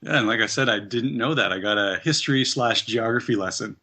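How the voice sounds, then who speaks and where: Bright-voiced Canadian man, upbeat guest. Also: medium pitch, fairly quick, breathy edge. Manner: upbeat, chatty, laughs easily. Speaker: podcast guest, personal trainer. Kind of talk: casual interview conversation.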